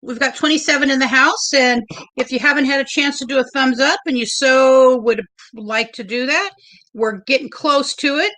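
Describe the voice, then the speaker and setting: A woman hosting a casual livestream, chatty and a little nervous, with a loud, big voice. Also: sits high, moderate pace, low-quality recording. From Oregon. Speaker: livestream host.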